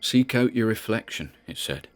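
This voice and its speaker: An actor playing a spooked man who rambles haltingly and nervously as he records private audio logs in an audio drama. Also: lowish voice, animated, natural voice. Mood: uneasy, weary. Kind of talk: dramatic audio-log monologue.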